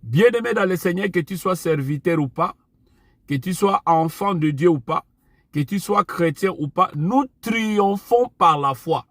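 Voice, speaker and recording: Man, Portuguese speaker, preacher, deliberate repeated refrains, dry close sound. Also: high-pitched, expressive, fairly bright tone, dry recording. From Angola. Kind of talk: online sermon.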